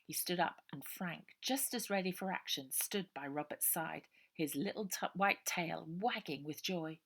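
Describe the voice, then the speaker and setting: A woman reading a children's novel aloud as a storyteller, in an expressive scripted style. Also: fairly low pitch, a little slow, vocal fry.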